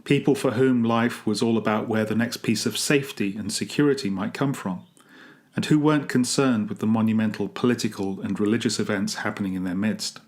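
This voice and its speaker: A man with a fairly low voice reads a scripted church meditation. He is measured and earnest, shaping his phrases reflectively like a rector addressing listeners. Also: fairly even intonation, darkish tone. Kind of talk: scripted devotional reading, reflective narration.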